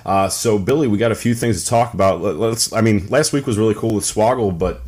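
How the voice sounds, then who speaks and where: A man's voice: on the low side, a little fast, a little mellow, breathy edge. A man co-hosting a podcast, chatting casually in a rambling, joking way. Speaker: podcast co-host. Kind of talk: casual chat.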